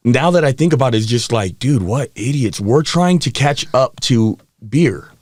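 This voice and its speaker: A man around thirty who grew up in Hawaii, a podcast guest telling stories from his life in a relaxed way, laughing often and getting animated as the story builds. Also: medium pitch, normal speed, no room sound, clean studio sound. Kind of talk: candid storytelling interview. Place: podcast studio. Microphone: studio mic.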